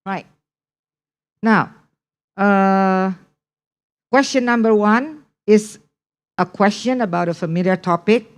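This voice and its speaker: A woman's voice: mid-range voice, takes it slowly, pauses often. A woman with an Indonesian accent teaching a test preparation class online, repeating key points and checking in with the class. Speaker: English test prep teacher.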